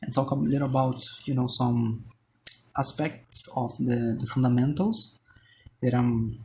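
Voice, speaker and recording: Hesitant Brazilian man with a mellow voice. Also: medium pitch, fairly even intonation, a little slow, slight background noise, poor audio. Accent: Brazilian Portuguese. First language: Portuguese. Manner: hesitant, rambling, many restarts and fillers; joking, self-deprecating. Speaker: artist and channel host. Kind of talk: casual voice-over while sketching.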